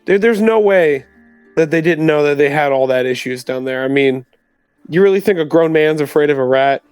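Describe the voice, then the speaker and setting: Higher-pitched man, dry close sound. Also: fairly even intonation, fairly quick, a little mellow, clear, resonant tone, no room sound, faint music behind. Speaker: tabletop roleplay player. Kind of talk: casual in-character chat.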